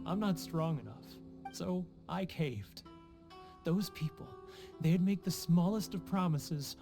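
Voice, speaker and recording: A man's voice: a little high, warm tone, natural voice. An actor playing a homesick, guilt-ridden male merchant in a video game, theatrical and sighing, self-pitying in manner. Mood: guilty, despairing. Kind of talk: video game character acting.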